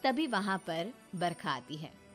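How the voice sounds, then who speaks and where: A woman narrator reading a scripted animated story. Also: fairly low pitch, slow, faint music behind.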